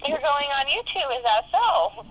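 A woman's voice: high-pitched, sing-song, moderate pace. A woman making a cold call, speaking insistently, heard through a telephone speaker. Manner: insistent, denying. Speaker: telephone solar surveyor. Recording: phone speaker picked up by webcam, faint noise.

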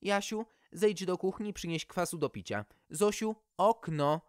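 Storybook hostess: motherly, unflustered, brisk and lilting , performed by a man. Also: high-pitched, no echo, clean studio sound, voice pushed up. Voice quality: melodious.

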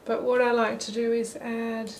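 A middle-aged woman narrating a watercolour lesson while she paints, thinking aloud in a relaxed way. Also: a little high, moderate pace, a little hiss or hum. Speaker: watercolour teacher. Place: holiday apartment, at a table indoors. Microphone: camera mic above the table.

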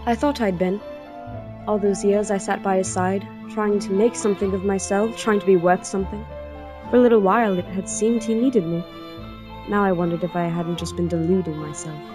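A woman's voice: medium pitch, fairly even intonation, moderate pace, close to an everyday voice. Actress as wistful young princess in an audio drama, grieving and bitter, faint music under her. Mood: wistful, regretful. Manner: wistful, introspective. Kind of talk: audio drama acting with introspective narration.

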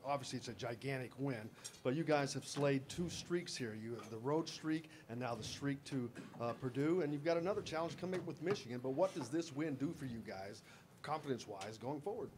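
A man's voice: mid-range voice, fairly quick, background noise. A man, a reporter, asking a long, wordy question at a press conference.

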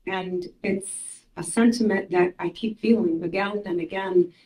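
A woman in her thirties from Ukraine whose first language is Russian, reading her poems aloud in a measured, soft-spoken way at a mic stand in a small gallery room. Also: lowish voice, fairly even intonation. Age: 30s. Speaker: poet. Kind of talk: poetry reading. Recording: roomy room echo.

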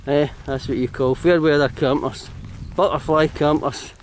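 A man's voice: a little high, fairly even intonation, normal speed, a little hiss or hum. Scottish man, hiking vlogger, rambling casual commentary, camera mic outdoors. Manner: chatty, rambling, self-correcting. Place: outdoors on hill paths.

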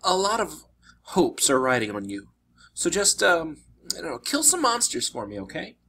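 A man voicing a friendly but uneasy young man in a storybook, with a casual, halting way of talking. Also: high voice, big pitch swings, creaky, bright, forceful tone, husky, voice pushed up, exaggerated voice. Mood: wistful, awkward.

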